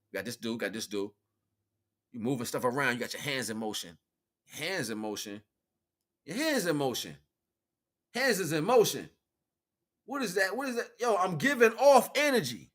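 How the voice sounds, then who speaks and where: A man with an American accent speaks in a slightly high, sharp-edged voice. He delivers a loose, improvised spiritual message in street slang. He leans on repetition to drive points home and slips into imagined back-and-forth dialogue. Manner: emphatic, repeats phrases for stress. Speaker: spiritual teacher and livestream host. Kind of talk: improvised spiritual talk, casual street preaching.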